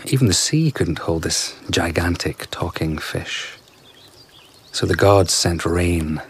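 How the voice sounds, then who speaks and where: Very deep-voiced, enigmatic charmer. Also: male voice, slight background noise. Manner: unhurried, playful storytelling. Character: enigmatic, charming, flirtatious well-travelled male storyteller of uncertain age. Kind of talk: radio drama acting. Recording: outdoor garden ambience with insect chirps.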